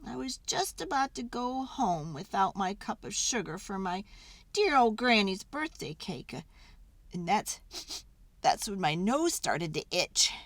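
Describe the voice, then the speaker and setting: A woman's voice: mid-range voice, big pitch swings, measured pace, dry recording. A woman voicing a folksy, mock-sincere wolf who pleads his innocence, in a conversational children's read-aloud style. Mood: chatty, aggrieved. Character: folksy, innocent-acting wolf telling his side, self-justifying.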